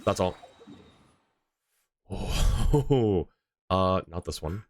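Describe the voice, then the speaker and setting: A man's voice: low voice, lively intonation, drawn-out words, no room sound. A man streaming a game live, chatting casually and rambling as he thinks aloud. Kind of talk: casual livestream chat.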